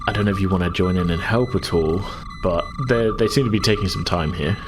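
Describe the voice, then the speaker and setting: A man's voice: deep voice, fast, noisy background. A man working as game master, narrating a tabletop sci-fi adventure in a hesitant, conversational, descriptive way.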